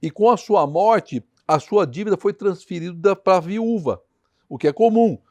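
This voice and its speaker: A man with a Brazilian Portuguese accent leads a devotional Bible study. His voice sits fairly high and is a little hoarse. The sound is dry and close, with very little room in it. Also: expressive, normal speed, no room sound. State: slightly hoarse. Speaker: preacher leading a Bible study. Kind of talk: devotional Bible teaching with scripture reading.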